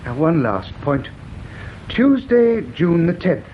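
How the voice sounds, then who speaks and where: Coroner, fairly high voice, formal, officious, deliberate, radio drama, muffled sound. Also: male voice, very animated. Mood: formal, probing. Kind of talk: BBC radio drama acting, formal questioning and ruling. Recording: dull, slightly noisy radio recording.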